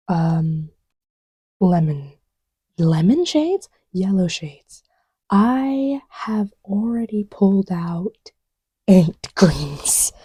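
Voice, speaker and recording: A woman speaking softly and often half-whispering, murmuring to herself in a casual, ASMR-style ramble. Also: fairly low pitch, slightly rough, no room sound, low-quality recording. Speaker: audio creator.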